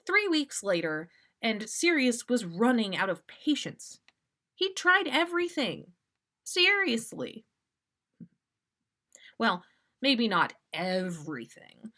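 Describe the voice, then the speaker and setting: A woman's voice: a little high, sing-song, slow, long pauses, sharp tone. A woman reading a story aloud expressively, as a podcast host would.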